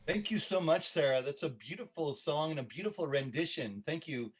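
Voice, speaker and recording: A man's voice: on the high side, lively intonation, fairly quick, breathy edge. A man casually hosting a community concert, thanking performers and handing over to the next one.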